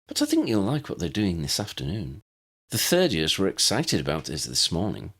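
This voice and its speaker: A man voicing a helpful, chatty older schoolgirl prefect in an audiobook, briskly and kindly explaining things. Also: deep voice, wildly swooping pitch, a bit bright, husky, full character voice. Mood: friendly, explaining. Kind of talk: audiobook character voice.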